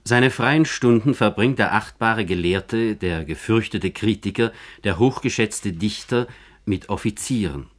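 A man with a fairly low voice narrates a radio documentary. His scripted delivery is formal and measured.

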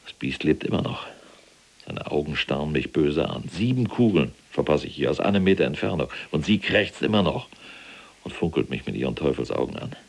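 Imprisoned SS general, cold and self-righteous,, extremely low gravelly voice, deliberate radio drama delivery. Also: male voice, animated, full character voice. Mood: agitated, disgusted. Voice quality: very deep, gravelly, husky. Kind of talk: German radio play acting, monologue.

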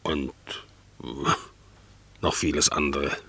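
An actor playing a world-weary, amorous bulldog in a theatrical, declamatory radio play style. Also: male voice, a bass voice, steady tone, takes it slowly, gravelly, pushed and bright, breathy edge, full character voice. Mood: weary, wistful. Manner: declamatory, dramatic storytelling.

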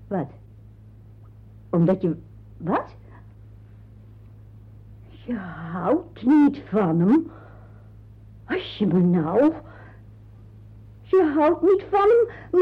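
A woman playing a fussing, coaxing aunt and mother of grown sons in a radio drama, halting and repeating herself, with a husky voice. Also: fairly high pitch, heavily acted. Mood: shocked, flustered. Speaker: radio play actress. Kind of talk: radio drama monologue to a silent listener. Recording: dull, muffled radio play recording.

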